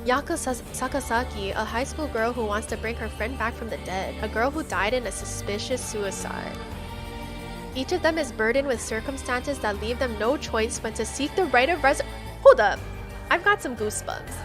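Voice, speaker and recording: A woman streamer playing a game, reading its text aloud and chatting casually in an excitable, slangy way. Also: on the high side, normal speed, music in the background.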